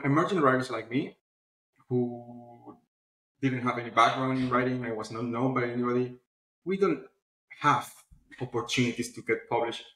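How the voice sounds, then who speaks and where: Male interview guest, mid-pitched, animated conversational storyteller, roomy echoey recording. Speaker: interview guest, publishing platform founder. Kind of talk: casual conversational interview answers.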